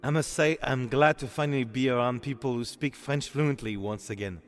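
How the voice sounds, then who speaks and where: Man voicing a courteous, earnest newcomer, mid-pitched. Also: normal speed, faint music behind, lightly acted. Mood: grateful, polite. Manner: courteous, formal. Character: polite, earnest male newcomer. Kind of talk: audiobook character dialogue.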